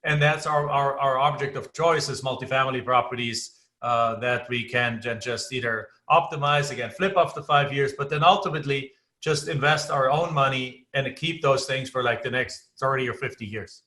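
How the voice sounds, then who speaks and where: Guest: German-accented man in his forties, bright voice, talkative. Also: mid-range voice, fairly even intonation, normal speed, hardly any pauses. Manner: talkative, frequent restarts and fillers. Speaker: guest investor. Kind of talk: casual podcast interview.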